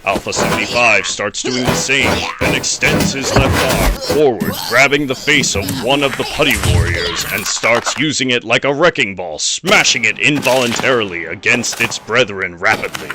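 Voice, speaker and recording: A man's voice: medium pitch, expressive, normal speed, hardly any pauses. A man narrating a scripted action audio drama as a storyteller. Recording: noisy home recording.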